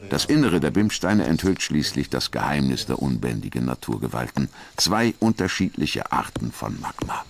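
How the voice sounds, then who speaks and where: A man's voice: rumbling low, very animated, normal speed, creaky, hardly any pauses, slight background noise. A man reading scripted documentary narration. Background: music.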